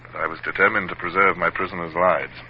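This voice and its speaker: Grave, confiding British sea captain, a male actor as narrator, very low voice, muffled vintage radio. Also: steady tone, fast, close to an everyday voice. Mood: resigned, determined. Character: English naval captain recounting his adventures, reflective, controlled. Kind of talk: scripted first-person radio drama narration. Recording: muffled, noisy 1950s radio recording.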